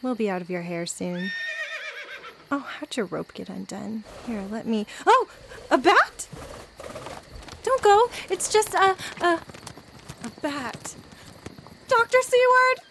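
A woman's voice: high voice, lively intonation, takes it slowly, long pauses, a bit bright, heavily acted. A woman playing a young, kindly guard in a radio drama, speaking in a chatty way. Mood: startled. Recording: clearly noisy, stable sound effects behind.

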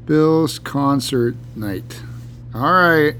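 A man co-hosting a casual podcast, ribbing his friend with dry, deadpan jokes. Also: a little high, very slow, a little hiss or hum. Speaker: podcast co-host. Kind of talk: casual banter.

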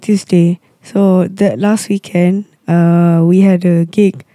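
A touring musician with a Malaysian English accent, hoarse and unwell, chatting casually in a rambling, halting way. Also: mid-range voice, level tone, warm tone. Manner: rambling, halting, with many pauses and restarts. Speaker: touring musician and podcast co-host. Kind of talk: casual chat.

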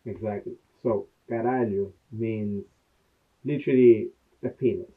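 A man's voice: on the low side, steady tone, unhurried. A man co-hosting a podcast in casual chat, agreeing often and explaining with everyday examples. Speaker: podcast co-host. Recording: tinny phone-like sound.

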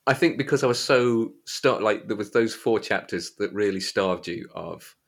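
A man with an East Midlands English accent and a very low voice hosts a book podcast. He talks in an informal, colloquial way, as in a casual chat. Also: normal speed, creaky. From Derbyshire, England. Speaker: podcast host.

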